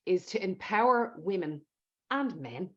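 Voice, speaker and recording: A woman's voice: on the low side, lively intonation, unhurried. A woman hosting a podcast interview in an emphatic, conversational way, often repeating words for stress. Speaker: podcast host. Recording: dull lo-fi audio.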